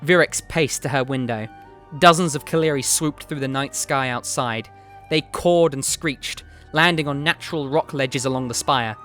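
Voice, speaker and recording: A man reading a fantasy story aloud as narrator, with dramatic, deliberate phrasing. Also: medium pitch, fairly bright tone. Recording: music behind the voice. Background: background music.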